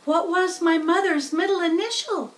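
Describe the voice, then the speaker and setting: A woman's voice: very high voice, steady tone, moderate pace, distant mic, pitched above the performer's own voice. A woman voicing tiny young female spiders, friendly and dainty, with small, polite sing-song voices in a storybook reading. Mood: playful, curious.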